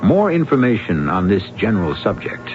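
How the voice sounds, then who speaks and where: A man hosting a radio mystery show, giving a wry, measured, theatrical scripted introduction. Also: on the low side, very animated, a little hiss or hum. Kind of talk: scripted dramatic narration. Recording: muffled old radio broadcast with music.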